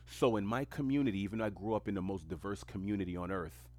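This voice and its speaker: A man with a very low, deep voice talks on his own podcast. He rambles in a reflective stream of consciousness and leans on repeated phrases for emphasis. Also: fast, mellow, soft background music. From New York City, Queens. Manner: reflective, emphatic, rhetorical repetition. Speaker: podcast host. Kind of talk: solo stream-of-consciousness monologue.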